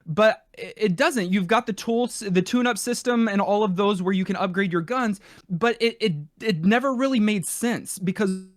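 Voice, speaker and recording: A man co-hosting a casual gaming podcast, explaining his points in a halting, rambling way with self-corrections. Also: sits high, no echo, studio quality. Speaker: podcast co-host. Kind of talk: casual retro gaming discussion.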